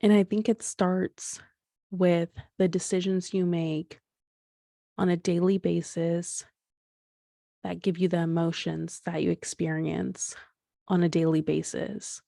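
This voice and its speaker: A woman's voice: fairly low pitch, flat delivery, takes it slowly, gravelly, warm tone, dry recording, clean studio sound. A woman in her thirties hosting a solo podcast, talking things through in a rambling, reflective way with frequent restarts and fillers. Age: 30s. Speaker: podcast host.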